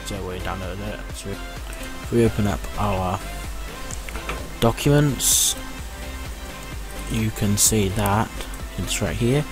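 A man's voice: fairly low pitch, slow pace, long pauses. A man giving a casual, reassuring step-by-step tech tutorial in an explanatory way. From United Kingdom. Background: music.

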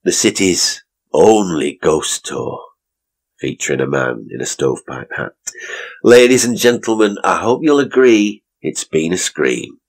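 Man playing a deep, macabre ghost tour guide. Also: lively intonation, a little slow, full character voice. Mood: showmanlike. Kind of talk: horror story acting, showman's patter.

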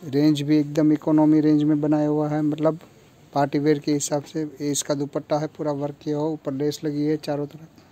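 Young male seller from India, monotone delivery, mellow, slightly high voice, phone recording in a shop. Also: fairly quick, dull audio. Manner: flat, matter-of-fact listing of features. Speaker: kurti factory seller. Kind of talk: product showcase walkthrough. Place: clothing store with fabric shelves.

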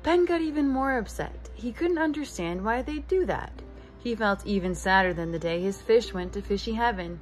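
Animated woman reading to young children. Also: a little high, moderate pace, soft background music, rough recording. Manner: expressive, addressing young children. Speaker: preschool teacher reading aloud. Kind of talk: children's picture book read-aloud. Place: living room with couch, indoors.

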